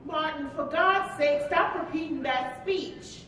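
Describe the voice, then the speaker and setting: An actress playing an anguished, neglected wife in a staged play, with an emotional, insistent delivery. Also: female voice, measured pace. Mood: fed up. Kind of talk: staged play reading, dramatic dialogue. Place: live staged reading in an echoey hall. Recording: very echoey live hall, distant mic, rumble.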